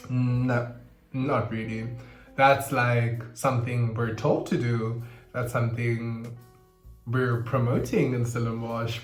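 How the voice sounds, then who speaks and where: An animated man in his twenties talks casually to camera at a mid pitch. The sound is roomy, with soft guitar music playing underneath. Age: 20s. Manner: animated, with sudden high squeals and laughs. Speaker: vlogger. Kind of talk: casual confessional chat to camera. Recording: roomy echo, faint guitar music behind. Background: faint guitar music.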